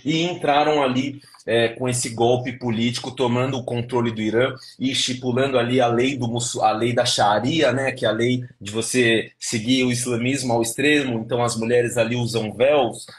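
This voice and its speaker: A man's voice: medium pitch, fairly even intonation, normal speed, few pauses. A young man from São Paulo with a São Paulo accent, a guest on a live stream, explaining steadily in a lecturing way. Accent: São Paulo Portuguese. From Brazil, São Paulo. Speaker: interview guest.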